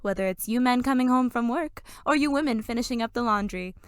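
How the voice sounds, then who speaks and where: Woman acting smooth, high-voiced radio pitchwoman. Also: a little fast, no room sound, studio quality, close to an everyday voice. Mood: peppy. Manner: polished, peppy sales talk. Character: upbeat old-time radio cigarette pitchwoman. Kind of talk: parody 1940s radio advertisement.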